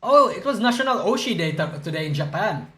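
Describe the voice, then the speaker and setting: A man's voice: high voice, animated, fairly quick, pushed and bright. A young man streaming live, rambling and swearing as he reacts to news, groggy from a hangover and stuttering. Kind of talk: casual livestream chat reacting to news.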